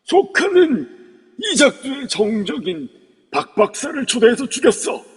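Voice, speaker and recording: A man's voice: very high voice, big pitch swings, a little slow, husky, exaggerated voice. A man voicing the spirit of a dead emperor who has taken over a living man, speaking in stern, archaic royal speech. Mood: accusing. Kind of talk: Korean fan audio drama acting. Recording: echoey long reverb.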